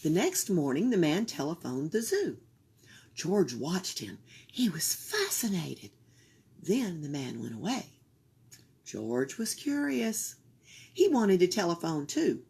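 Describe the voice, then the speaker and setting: A woman reading a children's picture book aloud for a library storytime, expressive and sometimes dropping into a whisper. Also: on the low side, takes it slowly, creaky, slightly rough. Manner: expressive, drops into whispers for suspense.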